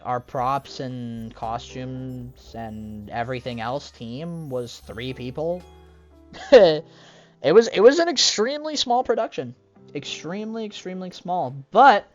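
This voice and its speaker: Low-voiced young woman chatting with lively swings. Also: lo-fi sound. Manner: rambling, self-deprecating, with frequent fillers and restarts. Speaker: gaming streamer. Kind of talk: casual rambling chat over gameplay.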